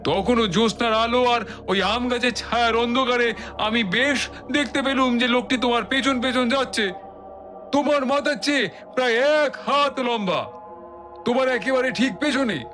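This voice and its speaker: Casting: elderly village uncle, very high voice, rambling and exclamatory, audio drama with faint music behind. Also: male voice, moderate pace, bright, forceful tone. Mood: astonished, insistent. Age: older. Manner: rambling, exclamatory, repeating words and stammering. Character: old village uncle, chatty, astonished, doubting his eyesight. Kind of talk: Bengali audio story acting.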